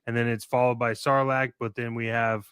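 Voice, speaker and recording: A man's voice: medium pitch, flat delivery, moderate pace, fairly bright tone, smooth, dry recording, clean studio sound. A man hosting a podcast in a casual, chatty style, with a playful, mock-grand manner. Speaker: podcast host. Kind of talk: casual chat hosting a show.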